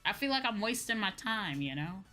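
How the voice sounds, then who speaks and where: Bright, forceful American woman livestreamer. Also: medium pitch, moderate pace, soft background music. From United States. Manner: animated, repeats phrases for emphasis. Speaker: VTuber livestream host. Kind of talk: casual livestream chat with viewers.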